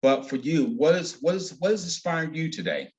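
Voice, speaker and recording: A man's voice: on the high side, animated, fairly quick. A male interviewer asks long, winding questions and piles on praise for his guest. He speaks over a video call. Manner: effusive, repetitive questioning.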